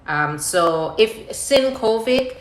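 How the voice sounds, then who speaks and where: A woman's voice: a little high, expressive, slow pace, a little hiss or hum. A woman attorney hosting a live question-and-answer session, reading out viewer questions and explaining the answers step by step in a conversational way. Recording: roomy, echoing live-stream sound.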